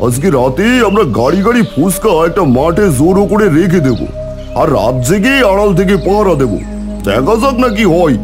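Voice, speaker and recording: A fretful village vendor, voiced by a man, high-pitched and very lively in tone. He complains and exclaims in cartoon fashion, with clear music behind. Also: a little fast. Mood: worried, plotting. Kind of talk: cartoon voice acting.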